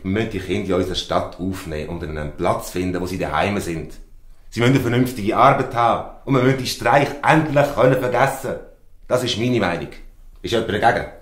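An actor playing a pompous, flustered small-town mayor in a Swiss German radio play. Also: male voice, fairly low pitch, fast, lightly acted. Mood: official, resolved. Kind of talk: Swiss dialect radio drama acting. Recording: roomy echo.

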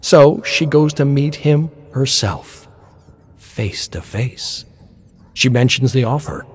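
Male narrator for dramatic scripted fiction, mid-range pitch. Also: slow, a little hiss or hum.

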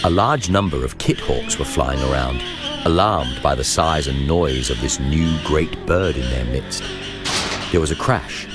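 A man reads a historical journal as scripted narration, in a measured, formal storytelling manner. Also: very deep voice. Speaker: narrator reading a memoir.

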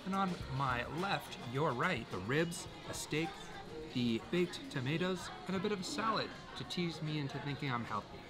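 A man's voice: on the high side, lively intonation, normal speed. A man in his twenties chatting casually in a playful, tongue-in-cheek way. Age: 20s. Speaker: host's companion. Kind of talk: casual chat. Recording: music behind the voice. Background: background music.

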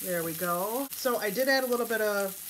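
A woman's voice: mid-range voice, a little fast, noisy background. A middle-aged woman with a Wisconsin accent, chatting casually while she cooks at home, rambling along with plenty of fillers. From Wisconsin, United States. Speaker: home cooking vlogger. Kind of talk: casual cook-along chat. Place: home kitchen and dining table.

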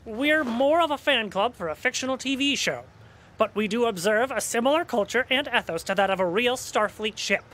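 An actor playing an excitable, money-minded member of a sci-fi fan crew in an audio drama. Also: medium pitch, normal speed, a little hiss or hum, close to an everyday voice, rough recording. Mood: matter-of-fact.

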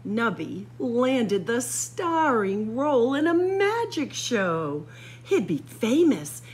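A woman reads a picture book aloud to children in a lively, rising and falling voice that sits a little high. She takes her time, leaving dramatic pauses and stretching out key words. A noticeable hum of background noise runs under the recording. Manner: dramatic pauses and drawn-out emphasis for young listeners. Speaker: library story-time reader. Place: library room with bookshelves.

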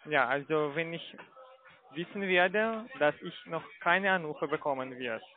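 A man's voice: a little high, measured pace. Man on a tinny phone line. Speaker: call centre caller. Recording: phone line with music behind.

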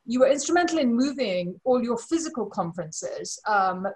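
A woman's voice: on the high side, animated, normal speed. A middle-aged woman from Malawi, introducing an online event informally in an effusive, emphatic way, on a video call from her living room. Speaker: webinar host and charity board chair. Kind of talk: informal spoken introduction and charity appeal. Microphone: video call computer microphone.

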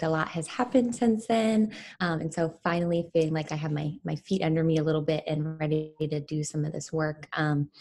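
A young woman speaks in a low voice from a room at home. She introduces herself casually and personally to an online workshop group. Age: young adult. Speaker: workshop participant. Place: living or dining room at home, video call.

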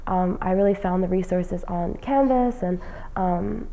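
A young woman from New Jersey talks about her plans in a relaxed, mellow voice, seated in an indoor lounge. She speaks earnestly and keeps pausing on "um" fillers. The sound is close and clean from a lapel mic. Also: lowish voice, creaky, muffled recording. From New Jersey, United States. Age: young adult. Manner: casual, frequent "um" fillers, earnest. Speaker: graduating senior, fellowship recipient. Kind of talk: interview answers. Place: indoor lounge with plants and leather chair.

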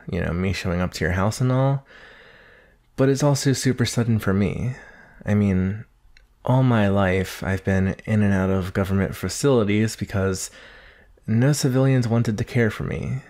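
A man's voice: lowish voice, a little mellow. A man playing a shy, earnest nineteen-year-old naga monster boy in a conversational ASMR roleplay, hesitant, nervous and apologetic.

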